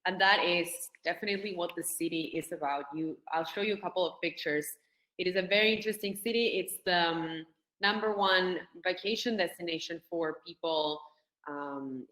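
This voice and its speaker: A woman's voice: on the low side, fairly bright tone, echoey room, poor audio. A woman, speaking as a panelist on a video call in a friendly way, a little flustered by her screen share. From Argentina.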